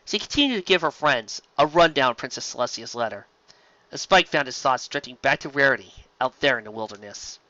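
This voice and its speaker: A woman's voice: extremely low pitch, expressive, brisk, pushed and bright, no room sound. A woman narrating a fan fiction story expressively. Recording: dull lo-fi close dry recording.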